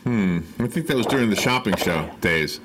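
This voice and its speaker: Animated male radio host, lively pitch swings. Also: moderate pace. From northern United States. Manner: animated, exclaiming, asks many questions. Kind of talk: casual radio chat. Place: radio studio.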